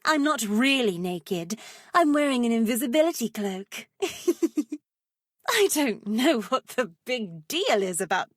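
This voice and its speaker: A woman's voice: fairly high pitch, no echo, clean studio sound. A woman voicing a seductive, wicked witch for a video game, with a sultry, teasing, theatrical delivery. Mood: amused, mischievous. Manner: sultry, teasing, theatrical, slips into breathy whispers. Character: seductive, wicked adult witch, playful dark sorceress. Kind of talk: video game character voice lines.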